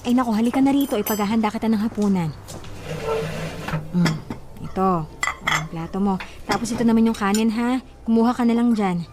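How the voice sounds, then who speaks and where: A woman's voice: on the high side, brisk, pauses often, background noise, close to an everyday voice. A woman playing a meek, long-suffering wife in a radio drama, plaintive and placating. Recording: loud music bed behind.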